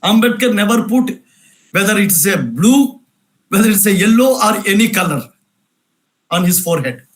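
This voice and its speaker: High-pitched insistent man. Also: animated, moderate pace, long pauses. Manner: repetitive, insistent, rhetorical questions. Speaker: speaker at a cultural event. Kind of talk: emphatic lecture answering questions.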